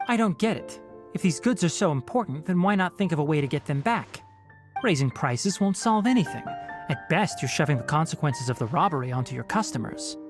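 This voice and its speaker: An actor voicing a brisk, decisive young forest ranger who speaks curtly and impatiently. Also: male voice, on the high side, animated, natural voice. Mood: skeptical. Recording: game audio with background music.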